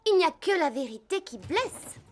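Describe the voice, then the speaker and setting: A woman's voice: extremely high pitch, sing-song, normal speed, slight background noise. A woman voicing a suspicious, haughty young girl in a dubbed cartoon, speaking in a pointed, accusatory way. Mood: accusing. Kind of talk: dubbed animated series acting.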